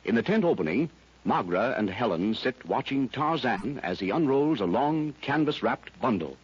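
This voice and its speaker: A man's voice: medium pitch, sing-song, normal speed, husky. A man narrating a 1930s radio serial, setting the scene in a dramatic way. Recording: dull 1930s radio recording.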